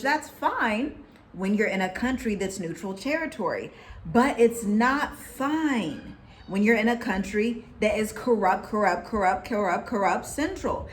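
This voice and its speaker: Animated, preachy American woman co-host. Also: fairly high pitch, slight background noise. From United States. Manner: animated, preachy, quotes people in put-on voices. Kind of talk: casual chat with scripture references.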